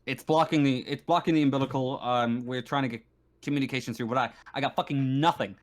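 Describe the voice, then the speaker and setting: Man as stern space marshal, tabletop roleplay, impatient, exasperated, sweary, halting. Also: mid-range voice, steady tone, natural voice. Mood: frustrated. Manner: sweary, exasperated, stumbling over words. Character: stern, harried male space-station marshal, gruff and impatient.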